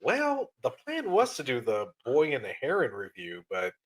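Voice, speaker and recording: A man's voice: mid-range voice, wildly swooping pitch. A man co-hosting a podcast, chatting eagerly with frequent stops and restarts. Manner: enthusiastic, halting, with restarts. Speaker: podcast co-host. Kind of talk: casual podcast chat.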